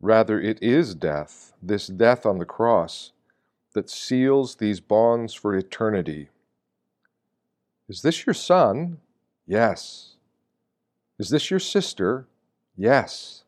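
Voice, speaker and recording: Male reader, fairly deep pitch, slow pace, scripted religious reflection, contemplative delivery. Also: lively intonation, a little mellow. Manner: measured, reflective, rhetorical questioning. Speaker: reader of Lenten meditations. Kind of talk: scripted devotional reflection reading.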